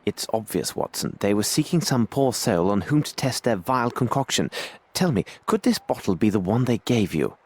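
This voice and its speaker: An actor playing a self-assured, inquisitive British gentleman detective in a video game, with formal, precise speech. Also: fairly low pitch, very animated, moderate pace, slightly rough. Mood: brisk questioning.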